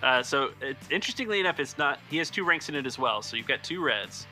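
A man working as game master, narrating improvised scenes descriptively and a little haltingly. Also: medium pitch, animated, bright, forceful tone, soft background music, low-quality recording.

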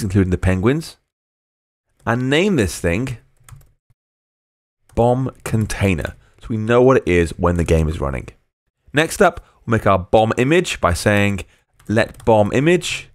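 A man's voice: on the low side, dry recording, studio quality. A man narrating a screencast coding tutorial as a programming tutor, explaining step by step and dictating code word by word.

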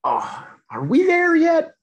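A man voicing a bubbly, excitable little female water drop from a storybook, exclaiming playful catchphrases. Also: extremely high pitch, slow pace, lo-fi sound, pitched above the performer's own voice, full character voice. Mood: excited, playful.

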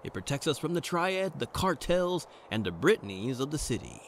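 A man's voice: fairly high pitch, big pitch swings, normal speed, slight background noise. Man playing a brooding noir detective with swooping delivery. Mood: earnest, hopeful. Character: brooding futuristic private detective, earnest and wry. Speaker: detective narrator. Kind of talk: noir audio drama narration.

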